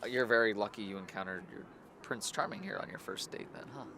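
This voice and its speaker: Sulky sarcastic guy, lowish voice that swings around a lot, noisy outdoors. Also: expressive, pushed and bright. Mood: wry, friendly. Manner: dry, teasing, halting. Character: sardonic, heartbroken man, teasing and sulky. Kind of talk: naturalistic comedy series acting, conversational. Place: outdoors in a park. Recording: noisy outdoor ambience, traffic hum.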